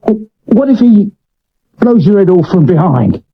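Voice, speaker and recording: A man's voice: high-pitched, very animated, unhurried, breathy edge, heavily acted. A man acting a nervous, loyal Victorian theatre dresser in a 1970s radio drama, anxious and pleading, with an English accent. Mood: worried. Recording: dull lo-fi vintage radio recording.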